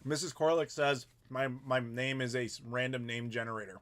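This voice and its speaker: Thirties male host, casual and rambling, reads out viewer comments in a middle-pitched voice. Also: fairly even intonation, normal speed, fairly bright tone. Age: 30s. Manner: rambling, chatty, reads viewer messages aloud. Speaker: YouTuber host. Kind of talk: casual live Q&A chat.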